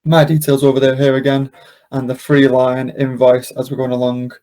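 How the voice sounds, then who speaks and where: A man presenting an informal software walkthrough, explaining casually step by step. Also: mid-range voice, monotone, moderate pace. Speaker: software demonstrator, webinar presenter. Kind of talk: informal screen-share walkthrough.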